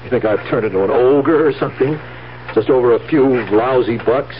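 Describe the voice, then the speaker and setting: An actor playing a scheming, deceitful nephew in a 1970s radio drama, smoothly reassuring with some hesitant stammering. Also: male voice, mid-range voice, brisk, slight background noise, lightly acted. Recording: muffled, humming old radio recording.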